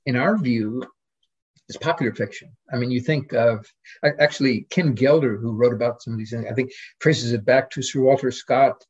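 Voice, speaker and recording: Husky-voiced male scholar in conversation. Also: mid-range voice, darkish tone, lo-fi sound. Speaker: guest literary scholar. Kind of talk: informal academic discussion.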